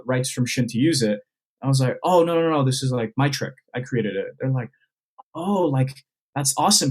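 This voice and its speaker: Young man, from Southern California, magician guest on a podcast, steady conversational explaining. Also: mid-range voice. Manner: explains step by step, acts out remembered dialogue. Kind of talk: podcast interview answers.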